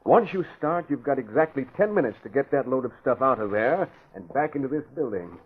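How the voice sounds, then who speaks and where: An actor playing an older crime boss who gives calm, bossy orders, with a husky voice, in 1940s radio acting. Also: male voice, medium pitch, brisk. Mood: commanding. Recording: tinny old radio broadcast.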